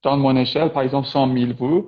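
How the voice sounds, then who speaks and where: A man's voice: mid-range voice, fairly even intonation, fast, muffled recording. A man from Iran whose first language is Persian, interviewing a guest in casual chat over a video call and speaking hesitantly with many fillers. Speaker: interviewer, French teacher on YouTube.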